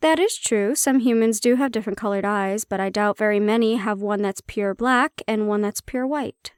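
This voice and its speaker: A woman's voice: medium pitch, moderate pace, smooth, no echo, clean studio sound, natural voice. A woman playing a prickly, guarded banshee-siren hybrid in an intimate audio roleplay, explaining herself conversationally with some teasing. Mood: guarded, explanatory.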